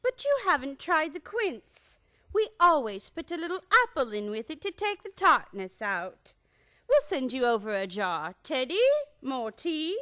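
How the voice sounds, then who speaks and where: High-pitched, lilting genteel old aunt. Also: female voice, sing-song, measured pace, bright, forceful tone, exaggerated voice. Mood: gracious, chatty. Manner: genteel, fussy hostess chatter. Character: genteel, sweetly chatty old spinster aunt. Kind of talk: live radio play acting in old-time radio manner.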